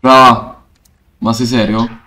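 A man's voice: mid-range voice, little pitch movement, takes it slowly, bright, forceful tone. A man casually bantering and trolling as he plays an online game, mock-scolding in slangy speech. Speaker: streamer playing and trolling on a roleplay server.

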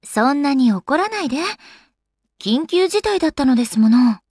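A woman's voice: sits high, big pitch swings, normal speed, darkish tone, no echo, clean studio sound. A woman voicing an elegant young goddess in a video game, with polite, ladylike, composed speech. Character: elegant young goddess, refined lady and avid gamer. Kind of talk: Japanese video game character voice acting.

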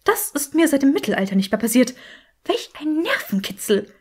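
Theatrical talking skull played by a woman, high-pitched, gossipy, gleeful, trilling, storybook fantasy. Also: very animated, normal speed. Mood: gleefully thrilled.

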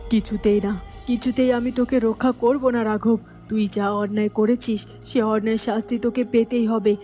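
A woman's voice: on the high side, flat delivery, fairly quick, warm tone, soft background music, natural voice. A woman voicing a righteous, stern queen mother in a cartoon, firm and emphatic. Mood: defiant, stern. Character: defiant, righteous queen mother refusing a villain. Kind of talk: melodramatic cartoon voice acting.

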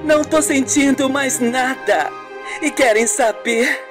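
A performer doing a high-pitched, earnest young woman patient. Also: slow, over music. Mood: amazed, joyful. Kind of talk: radio soap opera acting.

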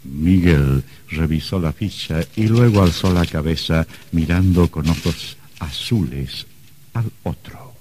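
Radio drama narrator: man, extremely low, mellow, husky. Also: slow pace.

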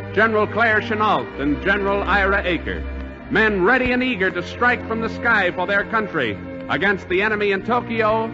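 A man's voice: high-pitched, dull audio. A man with an American accent reading a formal scripted announcement in 1940s radio style. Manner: formal, declarative. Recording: band-limited 1940s radio broadcast recording. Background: music.

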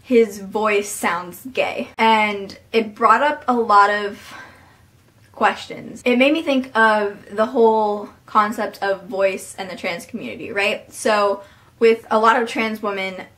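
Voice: medium pitch, steady tone, slow pace, fairly bright tone. Earnest young adult vlogging to a webcam. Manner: earnest and reflective, many fillers, talks with hands. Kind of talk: casual talking-to-camera vlog. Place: small bedroom with posters, indoors.

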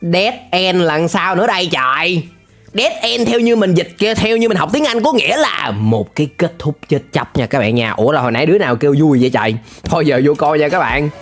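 A man's voice: fairly high pitch, lively intonation, a little fast, fairly bright tone, soft background music. A young man with a southern Vietnamese accent giving playful, exclamatory reaction commentary in a casual, chatty way on a close microphone. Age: young adult. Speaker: reaction video host. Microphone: close desk microphone with headset.

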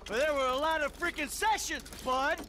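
An actor playing a gruff, profane, sarcastic middle-aged American retired criminal and father in a video game cutscene. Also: extremely high pitch, moderate pace, sharp tone. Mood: bitter, sarcastic. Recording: noisy vehicle ambience behind voice.

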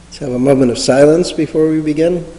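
Guy doing guided prayer meditation, soft dark tone, measured w long pauses, lots of reverb. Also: on the high side. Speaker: meditation leader. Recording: reverberant hall sound.

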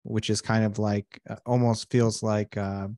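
A man's voice: fairly low pitch, level tone, measured pace, mellow, no room sound. Guy hosting a video call meeting, mid voice, casual and jokey, dry sound. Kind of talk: online meeting chat.

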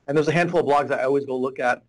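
A man's voice: mid-range voice, rapid-fire, poor audio. A middle-aged man, a data warehouse architect, explaining at length as a guest on a video call, with many restarts, from a small office. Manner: rambling, restarts, gestures a lot while explaining. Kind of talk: casual explanatory interview. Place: small office room with whiteboard. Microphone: video call webcam.

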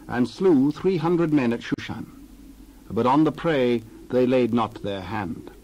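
A man narrating a dramatized scripture reading in a measured, solemn way with deliberate phrasing. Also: medium pitch, a little mellow, a little hiss or hum, low-quality recording. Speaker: Bible narrator.

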